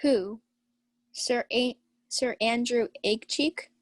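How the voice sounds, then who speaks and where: Drunken uncle knight played by a woman for radio Shakespeare: blustering, defiant, fairly high voice, close and dry. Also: slow, dry recording. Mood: boastful. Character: hard-drinking, blustering uncle, a carousing old knight. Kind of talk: student Shakespeare radio acting.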